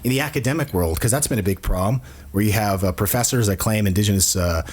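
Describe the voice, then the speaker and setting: A man's voice: lowish voice, fast talker, a little mellow, breathy edge. A man hosting a podcast, chatting casually and explaining things at length. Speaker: podcast host. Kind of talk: casual chat.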